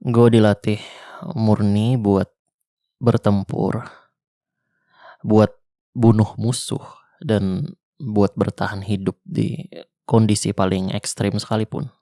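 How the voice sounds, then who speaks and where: Guy doing a flirty ex soldier surviving zombies, jakarta slang, slow gravelly talk, dry close mic. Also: fairly low pitch, little pitch movement, no echo. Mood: gentle teasing. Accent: Jakarta colloquial. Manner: soft, teasing, reassuring. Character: tough male ex-special-forces zombie survivor, protective, flirty. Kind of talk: ASMR roleplay.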